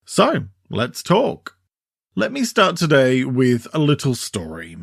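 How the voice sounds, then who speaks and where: Australian male podcast host, middle-aged, mid-pitched, measured and conversational, recorded close and dry. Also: huge pitch swings, creaky, no echo. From Sydney, Australia. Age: 40s or 50s. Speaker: podcast host, mental health counsellor. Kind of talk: scripted solo podcast narration, conversational.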